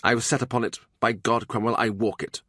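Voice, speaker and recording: A man's voice: medium pitch, steady tone, fairly quick, pushed and bright, dry recording, studio quality. Man playing a vehement, sneering bishop. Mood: bitter, proud. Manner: vehement, sardonic. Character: vehement, sneering, proud bishop at a royal court.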